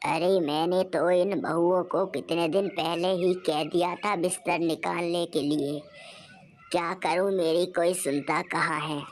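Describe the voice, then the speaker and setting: A woman's voice: lowish voice, steady tone, a little slow. A woman playing a fussing mother-in-law in a cartoon family story. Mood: complaining, scolding.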